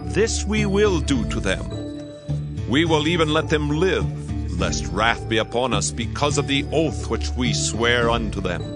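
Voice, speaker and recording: A firm Israelite prince, played by a man, makes declarations in a Bible audio drama. His medium-pitched voice rises and falls widely, with very loud music behind it. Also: lively intonation, measured pace, close to an everyday voice. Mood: firm, resolute. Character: Israelite prince, firm authoritative male elder statesman. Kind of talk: dramatized scripture acting. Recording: loud music almost covering the voice.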